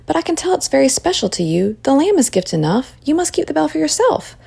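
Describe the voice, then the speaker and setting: A woman voicing a gentle, kind young mother with a soft, tender manner in a storybook. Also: high-pitched, very animated, fast talker, vocal fry, voice pushed up, heavily acted.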